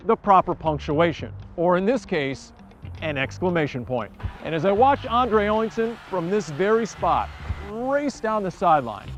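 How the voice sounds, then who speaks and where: An American man with a high voice and very lively pitch movement narrates a sports tale. His phrasing is theatrical and emphatic, as a scripted host, with faint music behind. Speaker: host and storyteller.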